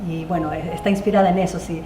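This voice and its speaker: A woman's voice: lowish voice, fairly even intonation, quick pace. Hesitant woman novelist, echoey room. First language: Spanish. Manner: hesitant, many fillers and restarts. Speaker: novelist guest. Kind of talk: panel discussion answers. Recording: echoey room with faint noise.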